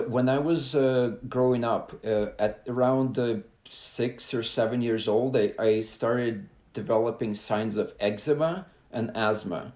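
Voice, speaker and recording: A young adult man from Belarus, a guest on a podcast, talking in a halting, earnest way with many filler sounds. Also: medium pitch, a little mellow, echoey room. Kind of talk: casual interview talk.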